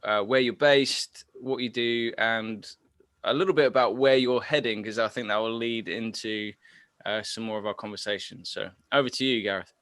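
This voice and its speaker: Male host, bright tense tone, smooth clear voice, teasing chatty manner, dry sound. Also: fairly low pitch, fairly even intonation, normal speed, no echo. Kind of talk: casual online interview.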